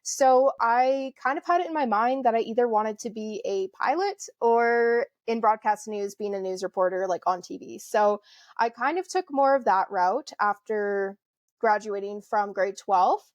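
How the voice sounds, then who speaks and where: A woman's voice: fairly high pitch, a little slow, no echo. A woman in her twenties from Canada, talking as a podcast guest in a friendly, chatty way with many fillers and light laughs. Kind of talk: casual interview conversation.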